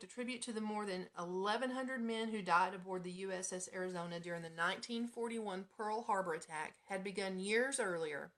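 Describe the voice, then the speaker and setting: A woman's voice: medium pitch, fairly bright tone. Chatty American woman hosting radio. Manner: informal, chatty, breaks into giggles and asides. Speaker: radio show host. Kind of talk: casual live radio chat with read-out items.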